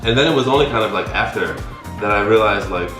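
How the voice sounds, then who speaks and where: Laid-back low-voiced man, chatty. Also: quick pace. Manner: relaxed, chatty, filler-heavy with lots of "like". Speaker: guest teaching Taiwanese. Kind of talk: casual chat. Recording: echoey room with faint music.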